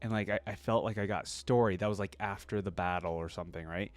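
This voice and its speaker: A man's voice: low-pitched, fairly even intonation, fast. A man giving casual, enthusiastic reaction commentary as a video creator, in an informal, exclamatory way. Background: orchestral music from the track being reviewed.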